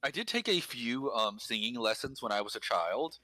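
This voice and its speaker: A man's voice: medium pitch, expressive, normal speed, sharp tone, no echo, low-quality recording. A man talking casually and articulately about pronunciation, with dry, wry humor. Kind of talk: casual conversation.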